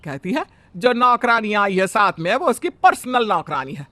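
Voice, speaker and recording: A man's voice: high voice, sing-song, normal speed, pushed and bright, no room sound, full character voice. A man voicing a fussy, bossy middle-aged mother-in-law who scolds and complains. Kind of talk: Hindi family drama voice acting.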